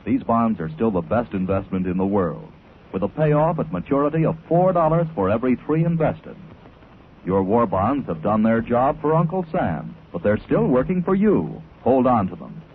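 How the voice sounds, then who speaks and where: An American man announcing on 1940s radio, reading a scripted pitch in a polished, persuasive way. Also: sing-song, normal speed, slight background noise. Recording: tinny 1940s radio broadcast.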